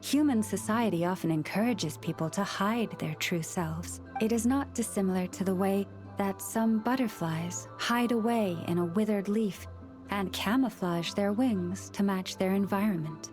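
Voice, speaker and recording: Woman acting a gentle, solemn priestly young woman, music underneath. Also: medium pitch, lightly acted. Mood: pensive. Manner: measured, formal, earnest phrasing. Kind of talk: video game cutscene voice acting.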